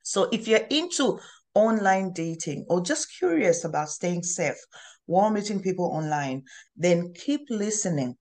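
A woman host with a mellow, low-set voice opens her show in an upbeat, polished way. She speaks from indoors over a video call webcam. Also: animated, unhurried. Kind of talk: scripted talk-show introduction.

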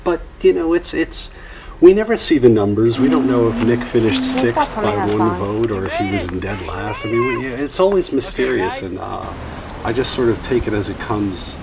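A man in his fifties giving conversational commentary as a film critic, thinking aloud haltingly. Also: mid-range voice, big pitch swings, vocal fry, over a noisy crowd. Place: TV studio.

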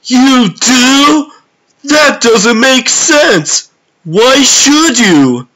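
A manic cartoon prankster villain, high and bright. The delivery is theatrical and sing-song, swooping widely with gleeful zany temper. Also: male voice, expressive, extremely slow, full character voice, poor audio. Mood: incredulous glee. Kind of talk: comic dub acting.

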